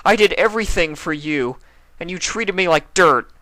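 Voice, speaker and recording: A heartbroken young male pony lashes out loudly and bitterly, as a man reading a storybook puts him on. The voice climbs high with the anger. The sound is dry and close. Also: expressive, moderate pace, no room sound, poor audio. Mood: angry. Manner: loud, angry.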